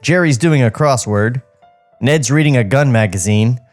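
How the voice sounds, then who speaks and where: A man's voice: mid-range voice, moderate pace, soft background music. A man narrating stage directions from a script at a podcast table read. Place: podcast studio.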